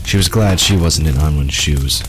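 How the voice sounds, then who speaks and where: A man's voice: a bass voice, moderate pace, slight background noise. A man reading scripted fiction as the narrator of an audio drama.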